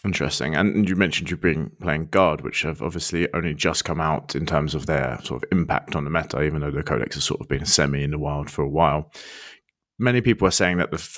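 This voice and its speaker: A man's voice: extremely low pitch, fairly bright tone, no echo, clean studio sound. A man hosting a podcast interview, talking in an upbeat, chatty way as he introduces and questions his guest. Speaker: podcast host.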